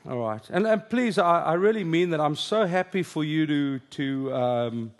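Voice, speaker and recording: A man's voice: a little high, expressive, moderate pace. A middle-aged man with an Afrikaans accent, whose first language is Afrikaans, gives a conference sermon and leads a prayer, joking and then earnest. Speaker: preacher.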